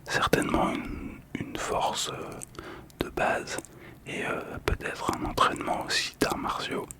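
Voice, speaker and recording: A man whispers throughout in a breathy, unvoiced voice. He speaks softly and calmly, in the style of ASMR storytelling, with small hesitations as he goes. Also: a little slow. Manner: soft, calm, hesitant. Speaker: host of a relaxation video.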